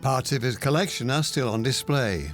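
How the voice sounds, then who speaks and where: A man narrating a travel documentary in a calm, measured scripted voice-over. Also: medium pitch. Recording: voice-over with music behind. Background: music.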